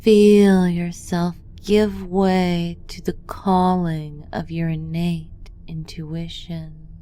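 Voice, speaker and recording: A woman narrating a scripted guided meditation in a hushed, deliberate, incantatory way. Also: fairly low pitch, flat delivery, clean tone, faint music behind.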